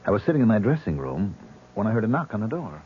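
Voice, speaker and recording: An actor playing a troubled, defensive stage actor in a 1970s radio drama. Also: male voice, lowish voice, sing-song, quick pace. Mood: guarded, hesitant. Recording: muffled, hissy old radio broadcast recording.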